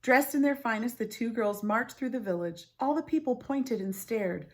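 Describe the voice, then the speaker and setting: A woman's voice: a little high, moderate pace. Woman reading a storybook aloud. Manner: expressive, clearly phrased storytelling. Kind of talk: storybook read-aloud with informal asides.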